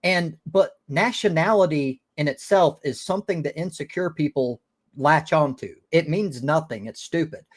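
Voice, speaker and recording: A man's voice: fairly high pitch, moderate pace. An American man in casual debate on a live stream, blunt and opinionated, with some swearing.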